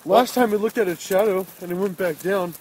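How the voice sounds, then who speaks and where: An excitable young man co-hosts a podcast on a cold walk, swearing and getting louder to make his points. His voice sits a little high. Faint noise runs behind him on the phone recording. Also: a little fast, rough recording. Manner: animated, swears often, gets louder when making a point. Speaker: podcast co-host. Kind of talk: casual chat while walking outdoors. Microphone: friend's handheld phone.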